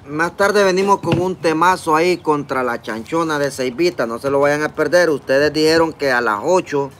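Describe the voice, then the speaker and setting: A man's voice: a little high, normal speed, fairly bright tone, slight background noise. Honduran man greeting livestream viewers. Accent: Honduran Spanish. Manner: friendly, greets viewers by name, eating between lines. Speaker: livestream vlogger reading chat. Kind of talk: casual livestream chat. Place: outdoors in a park, seated at a table. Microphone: phone camera close up.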